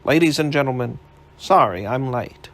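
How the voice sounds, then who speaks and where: A man's voice: medium pitch, lively intonation, normal speed, a bit bright, a little hiss or hum. A man reading aloud, voicing a brash young male newspaper reporter with a breezy, confident manner. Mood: cocky.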